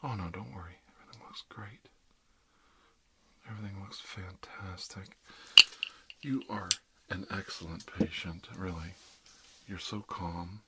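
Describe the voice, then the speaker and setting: Guy acting as a soothing asmr dentist, deep soft voice, lots of whispering, noisy background with clicks. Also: a little slow, long pauses. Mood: soothing, reassuring. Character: calm reassuring dentist treating an undead patient. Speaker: ASMR roleplay performer. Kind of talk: ASMR roleplay, murmured one-sided dialogue. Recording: clear background noise, clicks.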